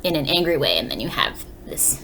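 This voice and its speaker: A woman's voice: deep voice, big pitch swings, fairly quick, bright, forceful tone, slight background noise, rough recording. A woman, a second grade teacher, reading a storybook aloud expressively and explaining it for young listeners. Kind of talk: expressive children's read-aloud.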